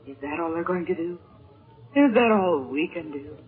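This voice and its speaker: A woman's voice: lowish voice, expressive, normal speed, lightly acted. An actress playing a frightened captive woman, anxious and pleading in melodramatic 1930s radio style. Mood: anxious, fearful. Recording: tinny 1930s radio recording with music.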